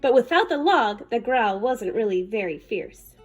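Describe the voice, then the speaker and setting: High, animated woman reading a story. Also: moderate pace, muffled recording, faint music behind. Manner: animated, dramatic. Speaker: children's story reader. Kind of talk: expressive picture-book narration.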